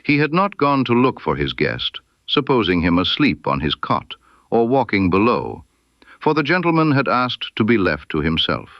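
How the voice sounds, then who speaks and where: Deep-voiced man reading a literary mystery. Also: sing-song, no room sound, muffled recording. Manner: measured, formal storytelling delivery. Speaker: audiobook narrator. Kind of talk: scripted literary mystery narration in Victorian first person.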